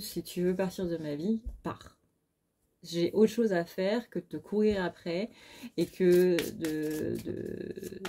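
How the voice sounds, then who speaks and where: Femme tireuse de cartes, voix douce et fatiguée. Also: female voice, lowish voice, dark tone, a little hiss or hum. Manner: informal, blunt, with mild swearing. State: very tired, short of sleep. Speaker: tarot card reader. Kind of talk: casual, chatty tarot reading.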